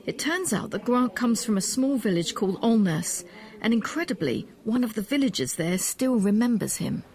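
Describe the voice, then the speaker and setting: A woman's voice: a little high, big pitch swings, moderate pace, a little mellow. A woman presenting a history documentary, moving between scripted narration and interview questions.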